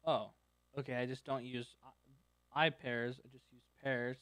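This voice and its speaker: A man's voice: medium pitch, flat delivery, moderate pace, fairly bright tone, smooth, no room sound. Man muttering through code, voice wearing thin. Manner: thinks aloud in short fragments while debugging. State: starting to lose his voice. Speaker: streamer coding live. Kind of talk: live-stream coding commentary, muttering to himself.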